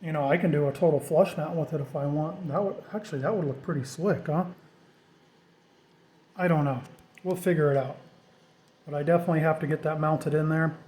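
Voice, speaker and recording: Male DIY vlogger, slightly high pitch but soft, dark-edged tone, informal thinking-aloud delivery. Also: fairly quick, pauses often. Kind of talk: casual off-the-cuff how-to narration.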